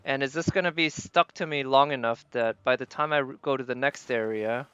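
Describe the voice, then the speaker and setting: A man's voice: medium pitch, a little fast, fairly bright tone, smooth, no echo, dull audio. A man live streaming a video game, chatting casually with viewers and musing aloud as he plays. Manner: thinks aloud, tentative and musing.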